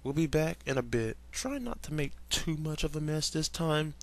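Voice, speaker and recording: Fretful, caring cartoon mother type, slightly high, male performer, fan fiction read-aloud. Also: very animated, moderate pace. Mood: instructing. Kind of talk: amateur fan fiction character reading.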